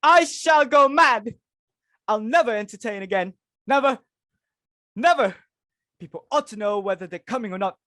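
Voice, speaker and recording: A woman's voice: a little high, lively intonation, normal speed, long pauses, a bit bright, no room sound, studio quality. A woman playing a flustered, imperious middle-aged society hostess and mother in a stage comedy reading, dramatic and exclamatory, repeating herself for emphasis. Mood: exasperated, self-pitying.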